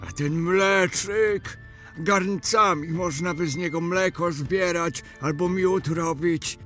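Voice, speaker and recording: A rustic old grandpa in a fantasy audio drama. His folksy, marvelling voice is high and slow, drawing out the words over faint music. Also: fairly bright tone. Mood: delighted.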